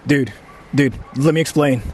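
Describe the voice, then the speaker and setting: A man from Japan with a Japanese accent chatting casually to livestream viewers in animated, repetitive, halting phrases. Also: mid-range voice, slight background noise. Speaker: live-streamer. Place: outdoors in a city.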